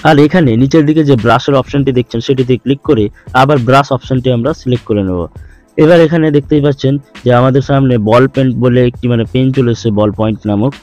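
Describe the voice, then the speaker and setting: Fast-talking man, tutorial voice-over. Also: mid-range voice, darkish tone. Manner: instructional, repetitive explaining. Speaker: tutorial host. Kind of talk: step-by-step screen tutorial voice-over. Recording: dull lo-fi voice-over, music behind. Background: background music.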